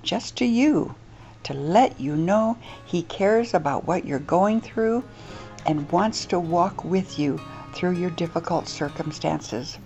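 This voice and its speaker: Female radio ministry host: low-pitched, mellow, earnest and gentle, dull lo-fi sound. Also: animated, takes it slowly, soft background music. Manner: gentle, earnest, softly preaching. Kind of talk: scripted radio devotional.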